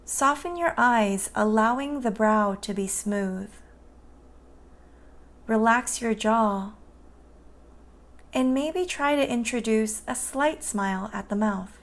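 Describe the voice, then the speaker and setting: A woman guiding a meditation in a soothing, gentle and unhurried manner. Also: fairly high pitch. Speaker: meditation guide. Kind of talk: guided meditation narration.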